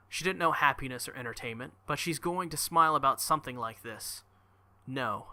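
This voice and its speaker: Bright, forceful man reading aloud. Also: mid-range voice. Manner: casual, joking asides between dramatic readings. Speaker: gaming video creator reading a visual novel aloud. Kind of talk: expressive read-aloud with casual commentary.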